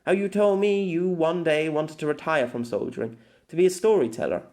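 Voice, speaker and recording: A man narrates as a long-lived, world-weary empress in an audiobook. The delivery is formal and measured, with dramatic pauses and a tender, wistful temper. The pitch sits slightly high. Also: a little mellow, close to an everyday voice. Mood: fond, regretful. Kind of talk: scripted audiobook narration of a letter.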